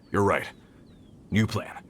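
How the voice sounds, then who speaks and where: An actor playing a brisk, responsible search party leader in a video game, decisive and businesslike. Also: male voice, a bit bright, slight background noise. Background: game music.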